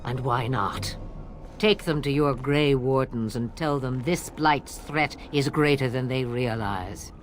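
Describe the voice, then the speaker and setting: A woman's voice: very deep voice, slow pace, soft background music. An actress playing a scornful, teasing old witch mother in a fantasy game, with a theatrical manner.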